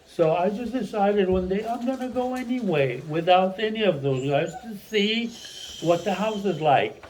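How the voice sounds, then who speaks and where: An older man from Mexico whose first language is Spanish, a retired teacher telling a long childhood story to his family in a rambling, animated way. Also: sits high, normal speed, low-quality recording. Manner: rambling, animated storyteller who restarts sentences.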